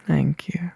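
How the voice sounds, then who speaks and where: A man playing a tired, overworked young boyfriend in a soft, intimate ASMR roleplay, halting and breathy, often dropping into a whisper close to the mic. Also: dry recording. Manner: halting, drowsy, sighing delivery. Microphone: close microphone, dry.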